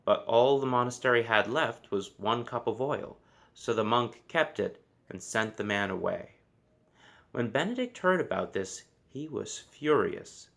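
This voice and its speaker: A man's voice: mid-range voice, expressive, measured pace, gravelly, a bit bright. Man reading a children's story aloud. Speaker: storybook reader.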